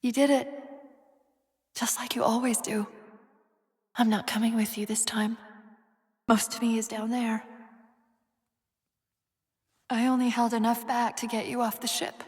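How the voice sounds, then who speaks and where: A woman's voice: a little high, flat delivery, a little slow, pauses often, big-room sound, lightly acted. A woman playing a witty, urgent, fiercely loyal female AI companion in a video game, with a wry and dramatic delivery. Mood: tender, resigned.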